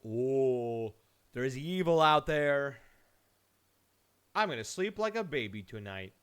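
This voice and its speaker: Animated, irreverent male game streamer. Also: mid-range voice, slow, clean tone. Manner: irreverent, sweary, jokey. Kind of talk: casual joking live game commentary.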